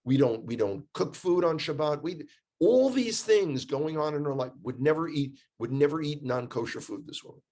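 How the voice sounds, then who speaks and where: A man's voice: fairly high pitch, animated, normal speed, a little mellow. A man with an American accent telling stories in a casual, conversational way, emphatic and repeating phrases for effect. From United States. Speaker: guest, tour guide living in Israel.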